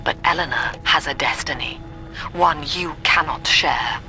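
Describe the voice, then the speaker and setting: An actress voicing a calm, cold middle-aged woman psychiatrist who leads a cult in a video game, speaking in a measured, soft, controlled way. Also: sits low. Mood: coldly gentle. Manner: measured, soft-spoken, controlled and coldly gentle. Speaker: game character. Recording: reverberant with music behind.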